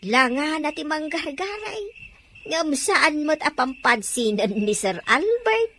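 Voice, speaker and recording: A woman's voice: high voice, sing-song, slow pace, background noise, full character voice. A woman playing a cheeky, gossipy household maid in a radio drama, with a teasing manner and a Filipino accent.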